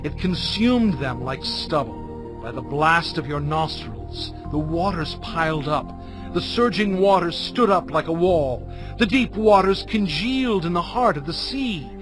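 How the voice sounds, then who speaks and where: A reverent male prophet and leader in a scripture audio drama. He declaims fervently at a very slow pace, in a slightly high voice. Soft music plays underneath. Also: expressive. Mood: reverent, awed.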